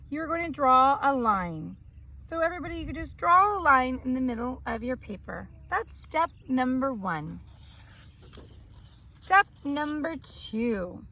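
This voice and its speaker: High-pitched woman teaching young children. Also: sharp tone. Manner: simple step-by-step explaining to young children. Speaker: elementary school teacher. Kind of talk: read-aloud and children's art lesson.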